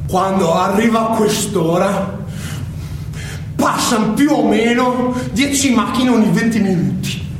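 Echo-drenched high-pitched ranting young delinquent. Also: male voice, background noise. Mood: uneasy, brooding. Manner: halting, repetitive, swinging from mutter to shouting. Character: unhinged foul-mouthed young delinquent ranting feverish visions by a highway. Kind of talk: theatrical stage monologue. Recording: echoey stage, distant mic, loud music.

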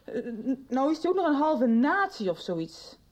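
A woman's voice: sits high, sing-song, moderate pace, darkish tone. A young woman playing an impatient, agitated daughter in a radio soap, pressing with insistent questions. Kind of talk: radio drama acting.

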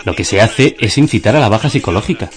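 Absurd philosopher for radio theatre, male actor: low register, deadpan and pedantic delivery. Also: fast, soft background music, lightly acted. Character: deadpan absurd philosopher musing over news headlines.

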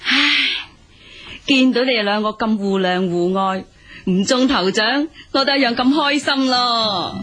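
A woman's voice: fairly high pitch, unhurried, a bit bright, soft background music. An actress playing a fussy, practical middle-aged mother in a radio drama, exclamatory and sighing, in Hong Kong Cantonese. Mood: relieved, touched.

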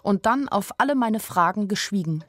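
Woman playing young naive narrator in a radio drama, mid pitch, wry, candid, a little anxious. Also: animated, moderate pace, no room sound, studio quality, natural voice. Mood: candid storytelling. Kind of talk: radio play first-person narration.

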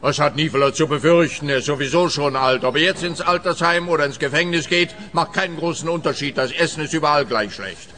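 A man's voice: fairly high pitch, a little fast, few pauses, pushed and bright. A man playing a scornful, cynical police officer in a radio play, pronouncing his judgments emphatically. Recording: long hall reverb.

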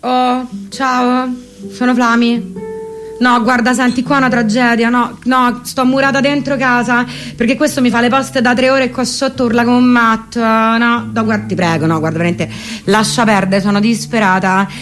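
Woman playing a high-pitched, exasperated Roman rich girl. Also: little pitch movement, moderate pace, a bit bright, soft background music, close to an everyday voice. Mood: exasperated, melodramatic. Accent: Roman Italian. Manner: gossipy, melodramatic complaining. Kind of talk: one-sided comic phone monologue.

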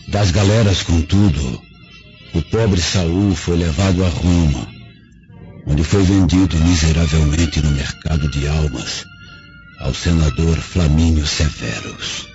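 Very deep, solemn dying avenger. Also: male voice, full character voice. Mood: grave, bitter. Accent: Brazilian. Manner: solemn, formal, theatrical phrasing. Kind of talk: radio drama acting. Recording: dull lo-fi radio drama, faint music behind.

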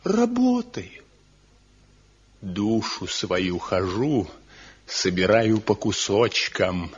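Slow, husky, tormented craftsman. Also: fairly low pitch, big pitch swings, full character voice. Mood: anguished. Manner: anguished, slow, rhetorical, heavy with bitterness. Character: tormented brooding master craftsman in despair, adult son. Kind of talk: Soviet radio drama acting. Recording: dull lo-fi archival 1970 radio recording.

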